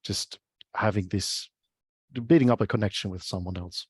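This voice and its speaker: A man with a German accent, a guest in an interview on a video call, speaking English as a second language and often pausing to search for words. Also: on the low side, breathy edge, dry recording, studio quality. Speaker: guest, UX researcher. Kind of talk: casual interview chat.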